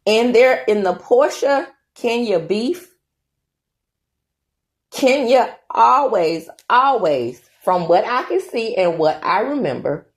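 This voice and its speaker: A woman's voice: a little high, animated, slow pace. A woman hosting her own commentary channel, giving her opinions in a chatty, emphatic way and tagging her points with "okay?".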